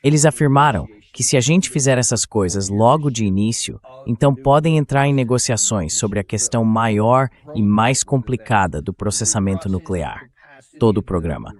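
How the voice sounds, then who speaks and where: A man's voice: on the low side, lively intonation, normal speed. A man hosting a commentary show, speaking in a translated voice-over political commentary style.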